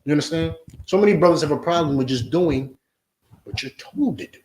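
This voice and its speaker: A man's voice: fairly high pitch, lively intonation, fairly quick, a little hiss or hum. Preaching man in an echoey room. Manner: forceful, repeats "you understand?". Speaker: religious teacher. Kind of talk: preaching lesson to a class.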